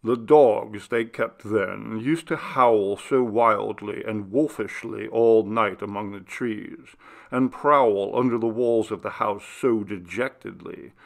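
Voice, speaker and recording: Guy reading an old ghost story, takes his time, bit of fry in the voice, expressive. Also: on the low side. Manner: measured, expressive literary reading. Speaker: audiobook narrator. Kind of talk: scripted gothic storytelling.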